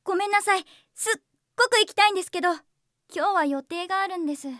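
An actress playing a sweet, apologetic young rookie, meek and cutesy, in an anime-style voice drama. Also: female voice, very high-pitched, fast talker, bright, forceful tone, dry recording, clean studio sound. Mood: polite, sorry. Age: young adult.

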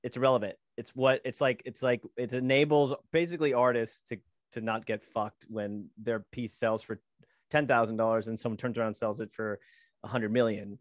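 A man's voice: mid-range voice, fairly even intonation, brisk, no room sound. A man in his forties, a guest comedian, explaining things casually over a video call on a headset, his sentences trailing off. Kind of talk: casual chat. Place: small home room.

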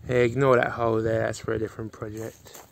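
A man's voice: fairly low pitch, flat delivery, fast, gravelly, a little hiss or hum. A man in his thirties walking around a rural property, filming on a phone and chatting casually as he points things out. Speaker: vlogger giving a property tour. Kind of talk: casual walkthrough narration. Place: outdoors on rural land, then inside a farmhouse. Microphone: phone camera held at arm's length.

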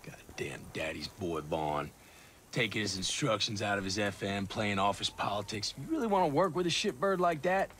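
An actor playing a cocky, menacing middle-aged American soldier with a gravelly, drawling, taunting delivery in a video game. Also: male voice, lowish voice, expressive, moderate pace, fairly bright tone, slight background noise. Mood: contemptuous, taunting. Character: cocky, menacing ex-special-forces soldier, hard and contemptuous. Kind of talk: video game cinematic acting.

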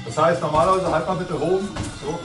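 A middle-aged man coaching a kickboxing class, brisk and joking as he counts out combinations for the group. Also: on the high side, fairly even intonation. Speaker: kickboxing trainer. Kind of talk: coaching a training session. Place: large indoor gym. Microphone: far camera. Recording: echoey gym, far from the camera, loud music. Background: loud music.

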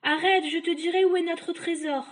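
A woman's voice: steady tone, moderate pace. A woman voicing a nasty, spiteful old witch who exclaims and scolds in a storybook tale. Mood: pleading. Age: older. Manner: shrill, exclaiming, scolding and cursing.

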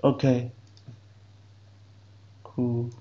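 A man whose first language is Vietnamese, giving halting step-by-step instructions in a screen-recorded software tutorial. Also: mid-range voice, completely flat delivery, highs cut off. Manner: slow, halting step-by-step instructions in non-native English. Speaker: programming instructor.

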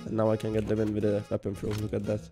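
A young man from Hungary with a Hungarian accent, chatting casually and joking while he streams a game at home, reading chat aloud and bursting into excited yells. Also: lowish voice, rapid-fire, faint music behind. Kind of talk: casual gaming livestream chat. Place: home room.

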